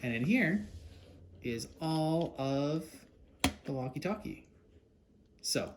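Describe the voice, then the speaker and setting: A man's voice: on the high side, animated, slow pace. A man casually explaining a hands-on task to a child, patiently prompting with questions. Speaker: parent presenting a homemade kids' take-apart video. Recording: clear background noise, handling clicks.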